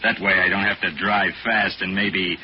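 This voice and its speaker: An actor playing a laconic, blunt chauffeur with deadpan, plain-spoken delivery in a 1940s radio drama. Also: male voice, low-pitched, normal speed, lightly acted. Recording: muffled 1940s radio, faint noise.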